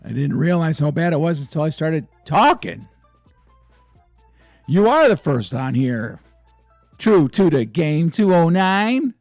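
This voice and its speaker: A hoarse man hosts a casual sports fan show, his raspy, gravelly voice moving at an unhurried pace. He talks in a chatty, excitable way. The sound is dry and close, with faint music underneath. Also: fairly high pitch, no room sound. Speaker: sports fan channel host. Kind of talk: casual fan vlog chat.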